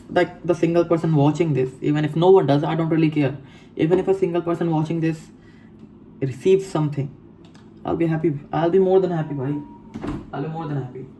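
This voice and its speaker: A man's voice: fairly high pitch, mellow, slight background noise, poor audio. A man in his late teens talking casually in a reflective monologue, mixing Hindi and English, repeating phrases and calling the listener bro. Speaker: vlogger. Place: home room.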